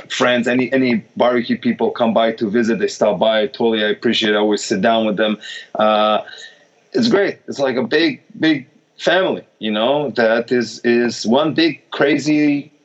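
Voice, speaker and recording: A man from Israel telling lively stories in casual chat, restarting often and jumping up in pitch when excited. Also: moderate pace. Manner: animated storyteller, many restarts, pitch jumps when excited. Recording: dull lo-fi sound.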